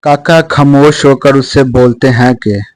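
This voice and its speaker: A man's voice: medium pitch, fairly even intonation, a little slow, no room sound, soft background music. A man narrating a scripted children's story as a close, dry voice-over.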